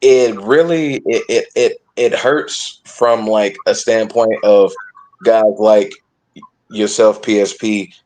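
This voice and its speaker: A man in casual sports discussion as a podcast panelist, emphatic but halting, often repeating and reworking his phrases. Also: on the low side, fairly even intonation, darkish tone, a little hiss or hum, rough recording.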